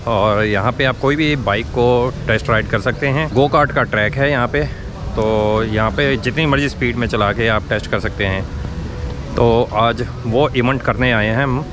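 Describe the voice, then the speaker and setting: A man's voice: medium pitch, normal speed, crowd noise. A middle-aged man vlogging outdoors at a go-kart track, chatting directly to his viewers while holding a close action camera. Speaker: motorcycle vlogger. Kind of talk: casual vlog narration. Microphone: action camera held close or helmet-mounted. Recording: outdoor recording with traffic noise.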